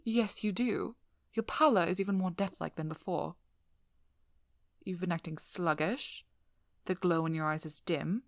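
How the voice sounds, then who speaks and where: A woman playing a sharp-tongued, blunt vampire hunter in an ASMR role-play, speaking softly and breathily to the listener with a dry, probing manner. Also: mid-range voice, no room sound. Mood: dry, scrutinizing.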